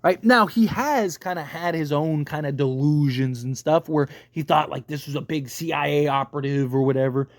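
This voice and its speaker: Emphatic man with a higher-pitched voice. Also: normal speed, few pauses, no room sound, studio quality. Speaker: video creator reviewing a TV series. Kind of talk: casual spoken commentary.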